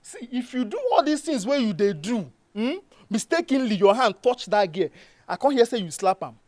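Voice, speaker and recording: A woman's voice: a little high, expressive, normal speed, darkish tone, dry recording. A woman playing an exasperated, bossy friend with a Nigerian accent, lecturing and warning emphatically. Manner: emphatic, scolding. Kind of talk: acted Nigerian Pidgin comedy dialogue.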